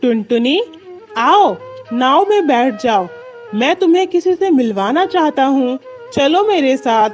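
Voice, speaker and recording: A woman's voice: high-pitched, lively intonation, unhurried, faint music behind. A woman voicing a friendly, inviting male talking boat in a children's cartoon. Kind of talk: children's cartoon story dialogue.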